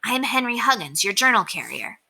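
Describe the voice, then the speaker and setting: An earnest young schoolboy from a storybook, as a woman puts him on. The voice sits high and bright and pleads to be understood, with a lively rise and fall. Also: fairly quick, vocal fry, breathy edge, heavily acted, low-quality recording. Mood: rehearsing.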